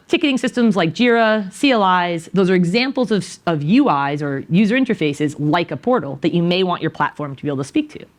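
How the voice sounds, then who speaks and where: A woman in her thirties giving an energetic, conversational technical talk to a small audience in an indoor conference room, speaking into a handheld mic. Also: fairly low pitch. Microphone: handheld mic, far camera.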